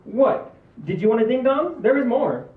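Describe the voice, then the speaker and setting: A man's voice: very high-pitched, sing-song, moderate pace. A man playing a hapless, flaky, whiny male lawyer in an amateur comedy script reading. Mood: oblivious. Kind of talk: amateur script table read. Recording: tinny, echoey, distant mic with noise.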